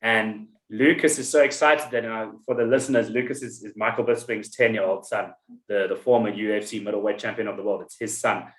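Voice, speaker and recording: A man's voice: fairly low pitch, steady tone, fairly quick, a bit bright, reverberant, rough recording. A man hosting a podcast in casual chat, rambling and telling stories with many restarts. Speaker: podcast host.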